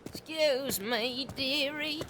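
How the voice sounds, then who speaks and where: A woman's voice: sits high, slow pace, fairly bright tone, slight background noise. A woman voicing a child who poses as a pleading little old beggar lady in an audio drama, wheedling.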